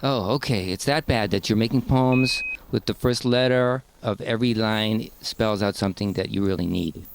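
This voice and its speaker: A man's voice: on the low side, moderate pace, creaky, few pauses, a little hiss or hum. A man chatting casually as a comic podcast interviewer.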